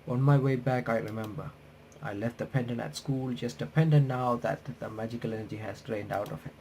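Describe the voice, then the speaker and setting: A man's voice: mid-range voice, brisk, mellow, slight background noise. A man doing a game playthrough, reading on-screen text aloud with casual commentary, often stumbling and correcting himself. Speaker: host of a game playthrough and reaction channel.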